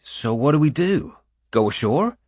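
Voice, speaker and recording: A man's voice: medium pitch, very animated, measured pace, darkish tone, no room sound, clean studio sound, pitched above the performer's own voice. A man voicing a wry, anxious warrior companion in an audiobook adventure tale. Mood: concerned. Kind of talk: audiobook character dialogue.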